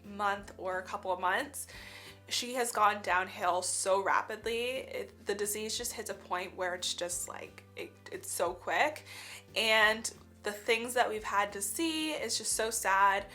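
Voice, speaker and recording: A woman's voice: medium pitch, measured pace, sharp tone, soft background music. A young woman with a stuffy, cold-blocked voice, talking in an earnest, chatty way full of "like" fillers in a reflective vlog. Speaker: vlogger. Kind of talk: casual reflective vlog talk.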